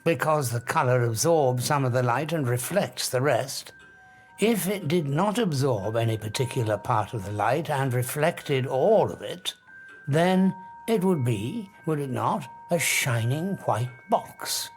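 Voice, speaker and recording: An actor playing an obsessive, grandiose scientist in an audiobook drama, arrogant and emphatic, lecturing with dramatic pauses. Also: male voice, mid-range voice, animated, faint music behind, close to an everyday voice. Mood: intense explaining.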